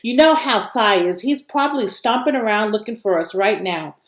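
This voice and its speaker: A woman's voice: medium pitch, animated, moderate pace, lightly acted. Woman reading as storybook boy, grumbling, firm, irritable, roomy echo. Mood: casual. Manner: grousing, firm.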